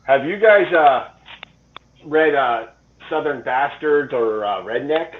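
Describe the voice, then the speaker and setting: A man's voice: mid-range voice, animated, slow. A man in his forties in easygoing casual chat, asking the others questions. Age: 40s. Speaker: podcast co-host. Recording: tinny phone-like call audio.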